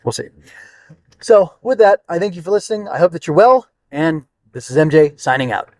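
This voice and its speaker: Higher-pitched man rambling through a podcast review. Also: expressive, brisk, darkish tone, dry recording. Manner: rambling, searching for words, thinking aloud. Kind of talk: casual unscripted review monologue.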